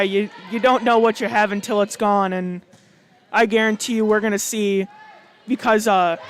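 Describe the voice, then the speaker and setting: High-voiced enthusiastic color commentator. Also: male voice, noisy background. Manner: enthusiastic, chatty. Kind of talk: casual sports color commentary.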